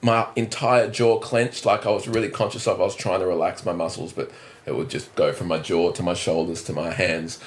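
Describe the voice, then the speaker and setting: A man's voice: low voice, steady tone, fairly quick, few pauses, slight background noise. A man in his thirties with an Australian accent, a drummer talking as a guest in a casual interview, rambling and self-deprecating with lots of restarts. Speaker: drummer and musical director, podcast guest. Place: indoors, on a couch.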